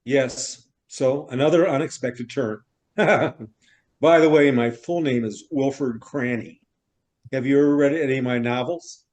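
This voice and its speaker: A man acts out an effusive middle-aged novelist in a stage reading. He is theatrical and wordy, given to exclaiming, in a voice of middling pitch. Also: a little slow, a little mellow, close to an everyday voice. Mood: chatty. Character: effusive middle-aged male novelist, newly arrived from New York. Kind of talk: stage reader's theater acting.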